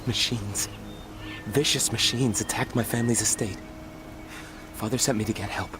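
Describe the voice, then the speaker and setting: A man's voice: fairly low pitch, moderate pace, over music, close to an everyday voice. A man acting a distraught, well-born young refugee in a video game, earnest and pleading. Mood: fearful, shaken. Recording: clear outdoor game ambience behind.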